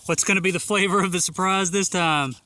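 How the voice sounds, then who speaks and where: Hoarse, excited man. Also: high-pitched. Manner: excited, slow and awed, many exclamations. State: hoarse, losing his voice. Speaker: metal detecting vlogger. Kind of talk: casual outdoor narration to camera. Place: open field outdoors.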